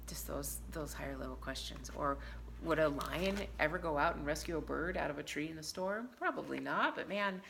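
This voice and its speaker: A woman's voice: low voice, brisk, vocal fry, fairly bright tone, slight background noise. A woman teaching in an informal, explanatory way, as a trainer showing teachers how to prompt young children. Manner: acts out example questions as if to young children. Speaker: early literacy teacher trainer. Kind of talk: informal instructional talk with demonstrations of prompts to children.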